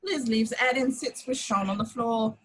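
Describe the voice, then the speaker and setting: A woman's voice: on the high side, normal speed, husky. A woman reading action descriptions as the narrator of a scripted table read.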